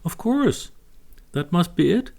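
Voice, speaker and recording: A man voicing an excitable, eager young cat friend in a children's bedtime story, exclaiming as he goes. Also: high-pitched, slow, creaky, voice pushed up, exaggerated voice. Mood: excited.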